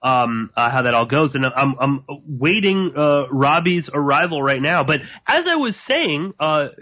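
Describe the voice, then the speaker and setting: A man hosting live radio alone, rambling and halting with many fillers, a slight sore throat in his voice. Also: fairly high pitch. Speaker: podcast host. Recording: dull lo-fi.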